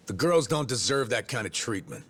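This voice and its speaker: An actor playing a gruff, world-weary middle-aged tough guy in a video game drama, blunt and disapproving, with a gravelly voice. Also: medium pitch, big pitch swings, normal speed, fairly bright tone. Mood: disgusted.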